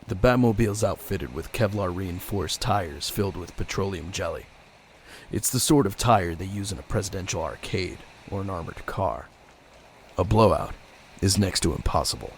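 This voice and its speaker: Man acting stern detective hero, commanding, deep, creaky gravel, grim audio drama delivery, noticeable noise. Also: lively intonation, normal speed. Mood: brooding. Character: brooding, stern masked vigilante detective hero. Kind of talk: audio drama narration and dialogue.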